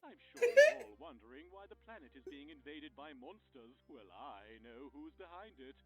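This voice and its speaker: A man's voice: a little high, wildly swooping pitch, moderate pace, gravelly, full character voice. A man voicing a flamboyant, theatrical, mock-polite villain in a parody cartoon, in thin lo-fi sound. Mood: smug.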